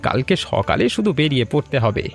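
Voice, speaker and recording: A man voicing a considerate, agreeable young husband and caring son-in-law in an animated story. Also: mid-range voice, fast talker, creaky, faint music behind. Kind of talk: Bengali animated story dialogue.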